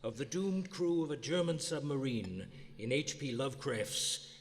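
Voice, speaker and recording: A man's voice: mid-range voice, expressive, unhurried, lightly acted. A man playing an urbane, macabre angel of death who hosts a radio horror show, courteous, formal and droll. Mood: solemn. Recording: live theater, long hall reverb.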